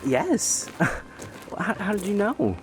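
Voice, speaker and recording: A man's voice: high-pitched, huge pitch swings, slow, heavily acted. A man voicing a nervous, polite, apologetic doctor who stammers and hesitates in a fantasy audio roleplay. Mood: polite, flustered. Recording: noticeable background noise and ambience.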